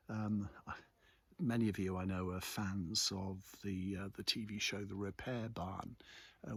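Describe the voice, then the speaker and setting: Low, gravelly man chatting casually. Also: sharp tone. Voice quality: husky, gravelly. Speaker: host of a local chat vlog. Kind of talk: rambling casual morning chat to followers. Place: outdoors in a churchyard.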